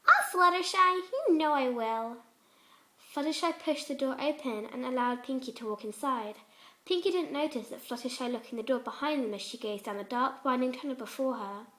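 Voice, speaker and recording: A woman's voice: high-pitched, fairly quick, echoey room. A woman reading fan fiction aloud as scripted storybook-style narration.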